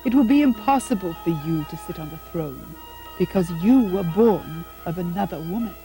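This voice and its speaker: A woman playing a haughty, cruel stepmother queen in a puppet show, condescending, measured and theatrical. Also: lowish voice, expressive, mellow, faint music behind. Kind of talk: puppet show dramatic acting.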